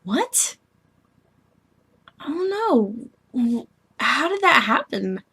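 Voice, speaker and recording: Woman acting a brash, slangy, husky young alien woman. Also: sits high, drawn-out words, voice pushed up, exaggerated voice. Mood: shocked. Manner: blunt, slangy, excitable, sarcastic. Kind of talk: scripted audition dialogue.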